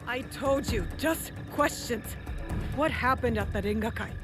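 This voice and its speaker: A woman playing a composed, determined young female shinobi, direct and measured, in a video game cutscene. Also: on the high side, over loud music, close to an everyday voice. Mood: firm, calm.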